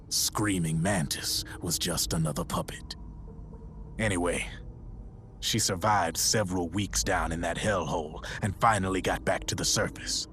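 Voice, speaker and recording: An actor playing a gruff arms dealer in a video game, narrating a dark war story in a grim, measured way. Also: male voice, low voice, slight background noise. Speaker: storyteller character. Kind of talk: dramatic scripted narration.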